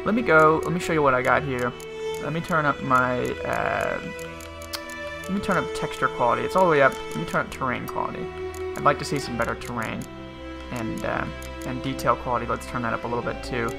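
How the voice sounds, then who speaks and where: A man's voice: mid-range voice, a little fast, vocal fry. A man giving casual, rambling let's-play commentary and often interrupting himself. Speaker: gaming video host. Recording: game music behind the voice. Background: video game music and sounds.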